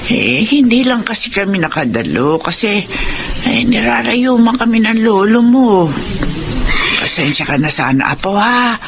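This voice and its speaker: A woman's voice: a little high, sing-song, measured pace, gravelly, noisy background, full character voice. A woman playing a tender, apologetic elderly Filipino grandmother in a family drama, hesitant and sighing as she coaxes. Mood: gentle, apologetic. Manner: coaxing, sighing, hesitant with filler sounds. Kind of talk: dramatized radio-style family drama acting.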